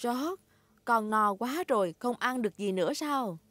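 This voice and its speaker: An actress playing a caring, gentle mother pig in a children's cartoon dub. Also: a little high, expressive, measured pace, no echo. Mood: gentle, encouraging.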